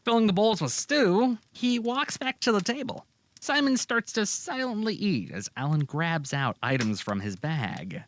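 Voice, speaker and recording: Male narrator for amateur audio drama: animated intonation, scripted delivery, slightly noisy recording. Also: high-pitched, unhurried.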